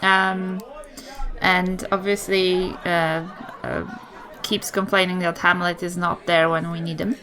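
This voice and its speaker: A woman's voice: on the low side, flat delivery, slow pace, sharp tone. A woman with a New Zealand accent chatting casually as a player in a tabletop roleplaying game. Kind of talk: casual improvised roleplay chat.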